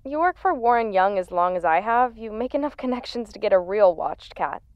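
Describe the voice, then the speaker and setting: An actress playing a dry-witted, supportive assistant on a phone call in an audio drama, matter-of-fact and reassuring. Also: female voice, medium pitch, lively intonation, fairly quick, a little mellow, clean tone, no echo. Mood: wry, reassuring. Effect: phone call filter. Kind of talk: naturalistic audio drama acting. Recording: slightly muffled phone-call sound.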